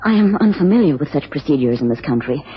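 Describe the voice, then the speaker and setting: A woman's voice: deep voice, lively intonation, quick pace. A woman playing an anxious, genteel American wife in a radio drama, speaking formally and earnestly with careful phrasing. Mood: polite, anxious. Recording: dull, noisy old radio recording.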